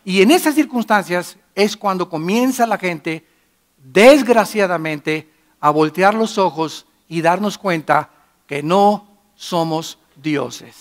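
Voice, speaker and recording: A middle-aged man preaching a sermon in a church, emphatic and moving between loud and hushed delivery. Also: sits high, takes it slowly. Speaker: pastor.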